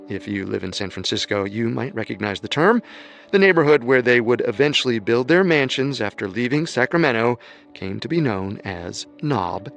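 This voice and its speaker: A man narrates a historical mystery in a calm, deliberate voice at a mid pitch. Faint music plays underneath. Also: vocal fry. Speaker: narrator and co-host. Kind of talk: scripted documentary narration.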